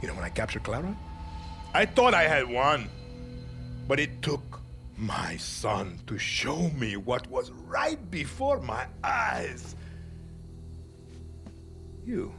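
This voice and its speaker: A cold, theatrical game dictator of middle age. He speaks slowly and deliberately, with a very animated pitch that drops into hushed menace. Music plays clearly behind him. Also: exaggerated voice. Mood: controlled, menacing. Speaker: actor. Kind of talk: cinematic video game acting.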